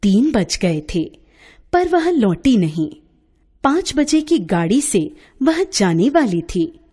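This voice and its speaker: A woman's voice: fairly high pitch, big pitch swings, slow, mellow, breathy edge. A woman storyteller giving a scripted narration of a moral story.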